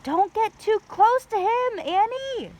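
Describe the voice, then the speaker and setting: A woman's voice: extremely high pitch, expressive, slow, dark tone, pitched above the performer's own voice, full character voice. A woman voicing a cautious, studious young boy in a children's storybook, doubtful and exasperated. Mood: alarmed, exasperated.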